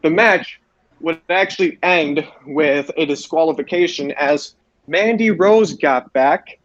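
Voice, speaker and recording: A man with a slightly high voice hosts a casual sports recap. He talks haltingly and repeats his phrases. The sound is dull and lo-fi, like an online voice call. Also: fairly bright tone. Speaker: podcast host. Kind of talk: casual wrestling show recap. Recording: roomy, dull lo-fi voice chat.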